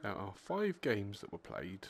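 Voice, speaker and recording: A man's voice: on the low side, wildly swooping pitch, measured pace, gravelly. A man from England with an English accent, giving a casual, self-deprecating intro and chatting with other players. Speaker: video maker and player.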